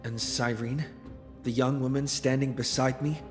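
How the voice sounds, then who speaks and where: A man voicing an earnest, careful hero in a video game, telling his account in a measured, solemn way. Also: medium pitch, flat delivery, lightly acted. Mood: somber, earnest. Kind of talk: dramatic scripted game acting. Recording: long reverb, clear background music.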